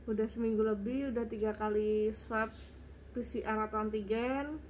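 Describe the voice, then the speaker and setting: A young woman talks casually through viewer questions in a stuffy, congested voice. Her voice sits fairly high and she sounds slightly out of breath. Faint household ambience hums under her. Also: fairly even intonation, moderate pace, darkish tone, a little hiss or hum, low-quality recording. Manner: chatty, reads questions out. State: congested, nasal voice; short of breath. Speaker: vlogger. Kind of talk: casual Q and A chat.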